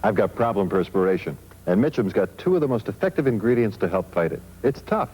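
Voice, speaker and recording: A man's voice: on the low side, lively intonation, fast. A man delivering scripted lines in a product commercial. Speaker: commercial actor. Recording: faintly hissy old TV ad.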